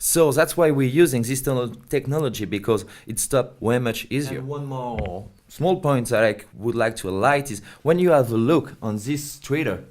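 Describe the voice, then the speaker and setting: A man's voice: mid-range voice, moderate pace, hardly any pauses, a little mellow. Man explaining a product. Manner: hesitant non-native English phrasing. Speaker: company representative being interviewed.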